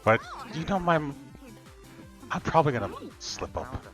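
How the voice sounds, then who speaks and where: Hesitant man over clear background music. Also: on the high side, animated, normal speed. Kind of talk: improvised online roleplay chat. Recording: music behind the voice.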